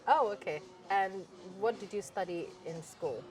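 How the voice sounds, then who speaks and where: A woman with a Ghanaian accent interviewing a guest at a restaurant table, chatty and quick to laugh. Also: medium pitch, a little mellow, soft background music. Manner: chatty, laughs easily, stumbles over questions. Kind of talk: casual interview.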